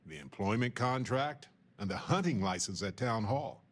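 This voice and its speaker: An actor playing a witty, sentimental space explorer and scientist in a video game, with a wry, playful, musing manner. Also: male voice, on the low side, lively intonation, normal speed. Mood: curious, upbeat. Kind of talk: video game dialogue acting.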